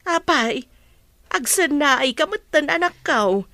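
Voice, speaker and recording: A woman playing a caring, cautious mother who gently advises her grown daughter in a radio drama. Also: high-pitched, animated, unhurried, full character voice. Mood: gentle advice.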